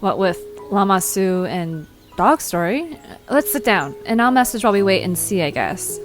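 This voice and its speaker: A woman's voice: medium pitch, lively intonation, normal speed, soft background music, natural voice. A woman playing a cautious, hesitant young woman talking with friends in an audio drama. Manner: hesitant, questioning.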